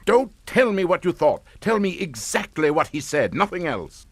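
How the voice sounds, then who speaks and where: A man's voice: on the high side, very animated, moderate pace, no room sound. An actor playing a stern, calculating English father in a radio suspense drama, with a clipped, commanding manner.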